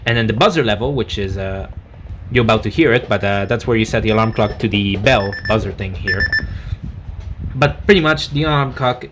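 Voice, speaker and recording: A man giving a casual, unscripted product review, speaking haltingly and rambling with frequent self-corrections. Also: lowish voice, a bit bright, a little hiss or hum.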